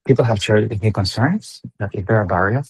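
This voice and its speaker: Husky man answering questions. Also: fairly low pitch, fairly quick, low-quality recording.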